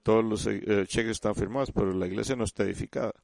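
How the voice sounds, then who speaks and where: Low gravelly man preaching. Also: steady tone, darkish tone, dry recording. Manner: halting, repeats and restarts words; addresses listeners as "usted". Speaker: church speaker. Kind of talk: sermon.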